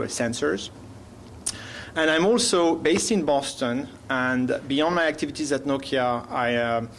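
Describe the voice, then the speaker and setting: A man from France with a French accent, speaking as a panelist at a conference, halting and full of fillers. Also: medium pitch, slight background noise. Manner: halting, many fillers and self-corrections. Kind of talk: conference presentation. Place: conference hall.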